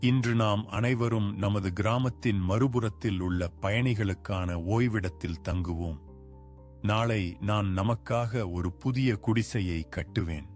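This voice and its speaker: Guy doing a worried poor village dad in a cartoon, lowish voice, earnest and pleading, music under it. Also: unhurried, darkish tone, close to an everyday voice. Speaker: voice actor. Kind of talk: dubbed cartoon acting. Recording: music bed behind.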